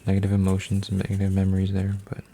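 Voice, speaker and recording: Deep, flat-voiced young man rambling softly. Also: racing, vocal fry. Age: 20s. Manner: meandering, full of fillers and restarts; folding paper while talking, drops to whispers. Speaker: ASMR creator. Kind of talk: soft-spoken rambling ASMR.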